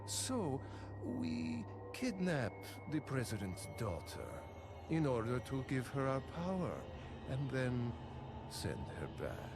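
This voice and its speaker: An actor playing a grandiose, menacing cult leader in a video game, preaching deliberately over music. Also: male voice, medium pitch, big pitch swings. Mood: grandiose menace. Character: pompous, menacing male cult leader villain. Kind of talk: theatrical video game villain acting. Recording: game cutscene with loud music behind.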